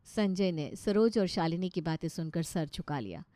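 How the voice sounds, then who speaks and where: A woman's voice: lowish voice, moderate pace, warm tone, no room sound, clean studio sound. A woman storyteller reading scripted narration of a moral tale.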